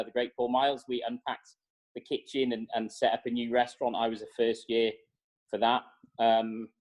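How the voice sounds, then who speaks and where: A man's voice: mid-range voice, a little mellow, rough recording. A man, answering interview questions steadily on a video call, with many fillers. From North Wales. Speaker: executive chef, guest.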